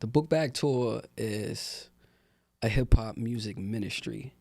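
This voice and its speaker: A man's voice: medium pitch, takes it slowly, vocal fry, dry recording. A young man from Newark talking in a laid-back, casual way with lots of fillers, as a podcast guest. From Newark, New Jersey. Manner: laid-back, relaxed street talk with many fillers. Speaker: rapper and guest. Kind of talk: casual interview talk.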